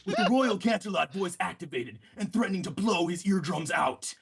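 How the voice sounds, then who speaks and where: A man's voice: sits high, animated, normal speed, gravelly, fairly bright tone, husky. A man reading a story aloud as an amateur narrator. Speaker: podcast participant reading aloud.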